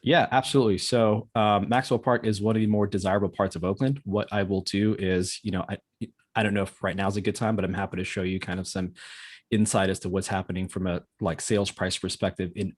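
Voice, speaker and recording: Fast, husky low-voiced man with occasional stammer. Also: steady tone. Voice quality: occasional stammer, gets hung up on words. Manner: polite, repeats back and affirms often. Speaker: real estate agent practicing a sales call. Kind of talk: role-played phone sales call.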